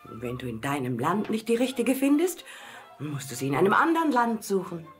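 A woman's voice: mid-range voice, sing-song, normal speed, soft background music, lightly acted. An actress playing a practical, commanding middle-aged queen and mother in a fairy-tale radio play, motherly yet curt with servants. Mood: patient, motherly.

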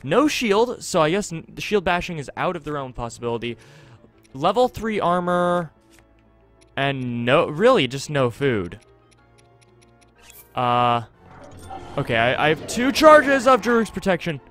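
Animated high-pitched man. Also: unhurried, long pauses, soft background music. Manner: animated, chatty, self-correcting. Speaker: host of a gaming series. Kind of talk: casual video game commentary. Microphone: close desktop USB microphone.

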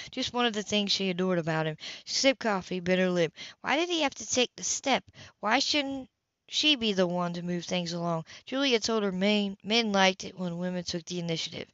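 Bright-voiced amateur reader, dull recording. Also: mid-range voice, no room sound. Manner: stumbles and rereads words. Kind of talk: reading a romance novel aloud.

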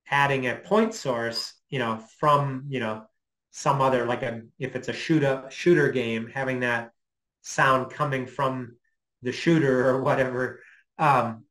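A middle-aged man gives an informal explanation as a lecturer answering questions online. He speaks haltingly, working out his thoughts as he goes, at a medium pitch, from a small room on a computer mic. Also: fairly bright tone, poor audio. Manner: tentative, halting, thinking aloud. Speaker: guest lecturer. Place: small home office, indoors. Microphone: computer mic on a video call.

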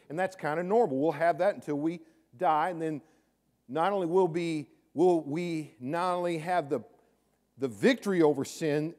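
A middle-aged man preaching a sermon from a church stage, chatty and then suddenly loud, often repeating his words. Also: fairly high pitch. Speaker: pastor. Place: large church sanctuary with stage, indoors.

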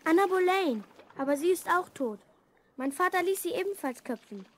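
A very high-voiced, earnest young princess. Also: female voice, animated, moderate pace. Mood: sad, earnest. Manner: formal, earnest. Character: lonely young princess Elizabeth, proud and sad. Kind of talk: German children's audio drama acting.

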